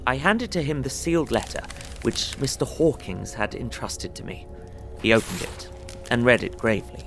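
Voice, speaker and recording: A man playing an earnest young solicitor, narrating his travel diary in a measured, descriptive way for an audio drama. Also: mid-range voice, animated, creaky, fairly bright tone. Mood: relieved, measured. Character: young English solicitor recounting his journey, earnest, observant. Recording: prominent music under voice. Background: music.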